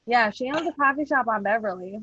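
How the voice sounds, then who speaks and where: A woman's voice: fairly high pitch, animated, a little fast, sharp tone, highs cut off, slight background noise. A woman chatting casually with slangy phrasing and some easy swearing. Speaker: podcast cast member. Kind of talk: casual chat.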